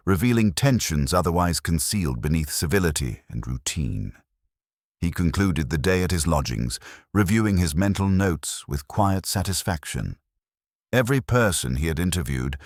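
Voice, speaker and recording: Very deep-voiced man narrating a mystery. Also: dry recording, studio quality. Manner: measured, literary, formal phrasing. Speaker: audiobook narrator. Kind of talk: scripted narration of a mystery story.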